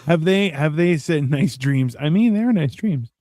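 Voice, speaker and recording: A man's voice: fairly high pitch, animated, measured pace, dark tone, dry recording. Sarcastic rambling man, dry close sound. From United States. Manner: sarcastic, rambling, many restarts. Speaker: podcast co-host. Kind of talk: casual banter.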